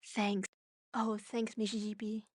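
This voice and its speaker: A woman playing a gentle, effeminate young actor in a radio play, playful and mock-deferential. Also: mid-range voice, completely flat delivery, measured pace, slightly rough, no echo. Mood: hesitant. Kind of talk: naturalistic radio play acting.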